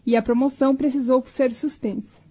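Radio documentary narrator, female, Brazilian, high voice, slightly dull recording. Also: steady tone, a little fast, mellow, breathy edge.

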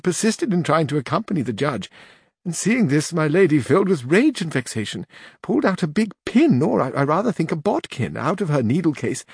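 A man's voice: creaky, husky, no echo, clean studio sound, heavily acted. A man reading the part of an elderly widowed duenna who tells her story at length and turns tearful. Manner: long-winded, earnest, rises higher when weeping. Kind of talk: audiobook narration.

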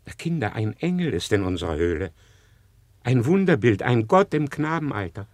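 A man's voice: mid-range voice, big pitch swings, normal speed, a little mellow, no room sound, lightly acted. An actor playing a hospitable old exiled hunter and father in a classical radio drama, hearty, fatherly and declamatory. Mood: awed surprise.

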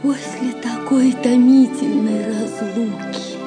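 An actress playing a tender, noble medieval lady in love, with wistful, drawn-out romantic delivery for an audio drama. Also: on the high side, steady tone. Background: loud music.

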